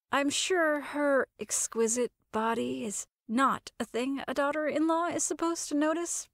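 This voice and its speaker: Female fiction podcast narrator, mid-pitched, expressive, wry, theatrical storytelling, dry studio-like sound. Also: dry recording.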